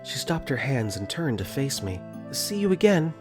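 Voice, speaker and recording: A man's voice: mid-range voice, big pitch swings, normal speed, over music, natural voice. A man voicing an easygoing, wry teenage boy who narrates his own story in a conversational tone with joking asides, for a visual novel fan dub. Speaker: voice actor. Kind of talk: first-person visual novel narration and dialogue.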